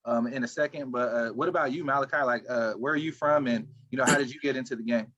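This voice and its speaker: Podcast host, man, quick and casual questioner, muffled lo-fi sound. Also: medium pitch, fairly bright tone. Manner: quick, loose questioning with fillers. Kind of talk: casual interview. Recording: dull lo-fi mic.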